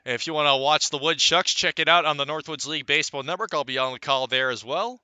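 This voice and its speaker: Guy calling baseball on the radio, really bright punchy voice, kinda high, leaves gaps between phrases. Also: fast talker, clean tone, dry recording. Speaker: baseball play-by-play announcer. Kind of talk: live radio sports commentary.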